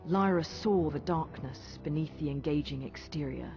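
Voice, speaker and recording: A man acting as a long-lived storyteller, narrating a young girl's tale in a measured, wistful, literary manner for a game. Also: fairly high pitch, natural voice. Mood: solemn, wistful. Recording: dull audio under loud music.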